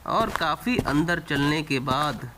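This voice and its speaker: A man's voice: fairly high pitch, expressive, slow pace, noisy background. A man narrating a scripted cartoon story as the storyteller.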